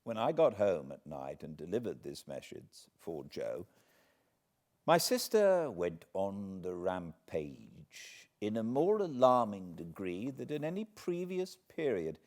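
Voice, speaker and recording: Slow, animated British male storyteller. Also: on the low side. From Britain. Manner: expressive, deliberate phrasing. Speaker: audiobook narrator. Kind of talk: dramatic literary storytelling, read aloud.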